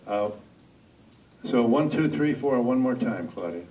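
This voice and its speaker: A man's voice: medium pitch, expressive, measured pace, dull audio. A man moderating a question session informally, with a wry, jokey way of calling on people. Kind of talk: informal hosting, calling on audience members. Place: live public question-and-answer event. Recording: distant microphone, clearly noisy event recording.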